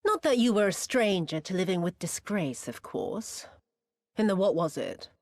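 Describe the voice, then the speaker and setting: An actress playing a cruel, vengeful woman in a video game, mocking and imperious, with a theatrical, drawn-out delivery that sinks into breathy whispers. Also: medium pitch, sing-song, no echo, studio quality. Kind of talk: dramatic video game cutscene acting.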